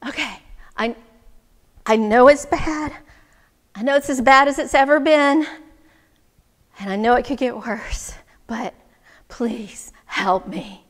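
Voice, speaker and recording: A woman's voice: high voice, normal speed, fairly bright tone, in a large hall. A woman voicing an exasperated village man in a storybook tale, whiny, melodramatic and pleading. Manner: melodramatic, wailing.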